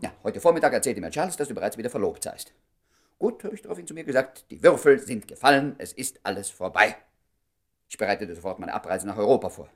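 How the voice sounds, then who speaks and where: A man's voice: fairly low pitch, sing-song, fast talker. An actor playing a jealous, sardonic, lovesick man in his thirties in a radio comedy drama, blustering and stammering when worked up. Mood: passionate. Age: 30s.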